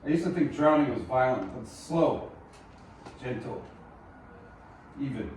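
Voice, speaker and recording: A man's voice: mid-range voice, lively intonation, unhurried, a little mellow, natural voice. A man playing a broken, grieving musician in a screenplay table read, brooding and subdued. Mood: bitter grief. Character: Beric, broken, haunted, grieving male musician. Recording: distant, echoey room with clear noise.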